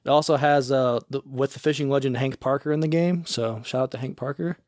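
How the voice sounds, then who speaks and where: A man co-hosting a casual podcast, talking in a relaxed, rambling way with some swearing. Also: mid-range voice, brisk, no room sound, clean studio sound. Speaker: podcast co-host. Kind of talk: casual chat.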